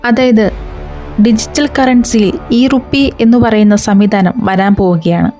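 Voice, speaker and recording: A woman's voice: medium pitch, moderate pace, over music. A woman hosting an explainer video, talking viewers through it step by step with rhetorical questions. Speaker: video channel host.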